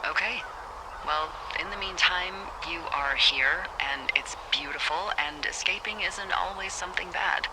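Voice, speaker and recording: A woman's voice: lowish voice, a little slow, background noise. An actress playing a breezy, wry American woman in a video game, chatting conversationally over a handheld radio. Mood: sympathetic. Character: breezy, wry, friendly woman fire lookout supervisor.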